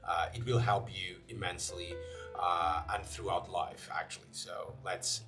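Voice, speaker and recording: A man's voice: sits low, steady tone, measured pace. A young man from Portugal with a Portuguese accent, talking casually to camera in English with many fillers and restarts. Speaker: channel host. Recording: faint guitar music behind. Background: faint guitar music.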